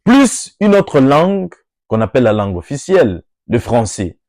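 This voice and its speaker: A man's voice: mid-range voice, sing-song, normal speed, a little mellow, no echo, studio quality. A Congolese man with a Congolese French accent, addressing workers on behalf of an NGO in an oratorical style with emphatic rising peaks. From Democratic Republic of the Congo. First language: Lingala or Swahili. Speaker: NGO speaker.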